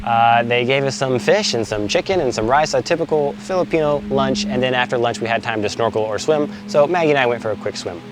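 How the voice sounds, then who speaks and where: A man's voice: mid-range voice, brisk, hardly any pauses, noisy background. A man narrating a travel vlog in an upbeat, joking, chatty way, outdoors on an island tour. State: congested from a head cold. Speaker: travel vlogger.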